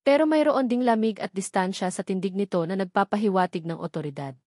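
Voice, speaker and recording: A woman's voice: mid-range voice, quick pace, a little mellow, dry recording, studio quality. A woman with a Filipino accent reading a scripted romantic drama as its storyteller. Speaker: narrator.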